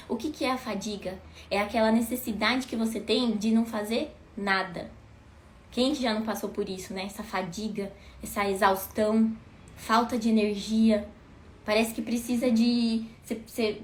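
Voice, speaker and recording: A woman in her thirties with a fairly high voice talks straight to her viewers in an easy, conversational way. She keeps putting rhetorical questions to them and then answers them herself. The recording is roomy and echoey, with a faint hiss underneath. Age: 30s. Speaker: host. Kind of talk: casual talk to viewers. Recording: echoey room with faint noise.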